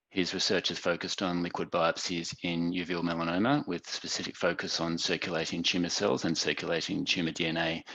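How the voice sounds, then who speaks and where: A man's voice: low-pitched, creaky, a bit bright, no room sound, low-quality recording. A man chairing a conference session, introducing the next speaker in a hesitant, formal way.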